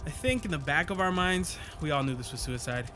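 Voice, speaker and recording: An actor playing an anxious, excitable scientist in a sci-fi audio drama. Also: male voice, on the high side, brisk, faint music behind. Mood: rueful.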